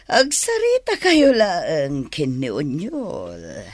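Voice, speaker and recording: An actress playing a defiant, scheming mistress of a crime boss in a radio drama, with a taunting, theatrical manner. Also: female voice, low-pitched, wildly swooping pitch, very slow, no room sound, heavily acted. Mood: menacing. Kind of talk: Ilocano radio drama acting.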